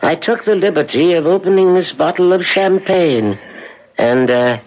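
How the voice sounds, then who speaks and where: An actor playing a deferential, solicitous servant in a 1970s radio drama. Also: male voice, high voice, normal speed, natural voice. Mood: gentle concern. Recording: muffled old radio broadcast.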